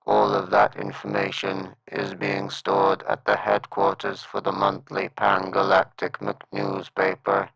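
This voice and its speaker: Gravelly, very deep sci-fi villain. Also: male voice, fairly bright tone, no room sound, heavily acted, low-quality recording. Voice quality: gravelly, husky. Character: scheming male sci-fi villain, slow and ponderous. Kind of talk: comedic sci-fi radio play.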